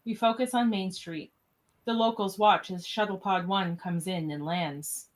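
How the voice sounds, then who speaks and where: A woman's voice: medium pitch, measured pace. A woman narrator reading scripted stage directions for radio theatre in a matter-of-fact manner.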